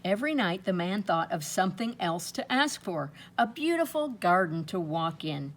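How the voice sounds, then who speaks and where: A woman reading aloud to children in an expressive storytelling style, with dramatic pauses and drawn-out emphasis. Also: on the low side, slight background noise. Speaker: storybook reader.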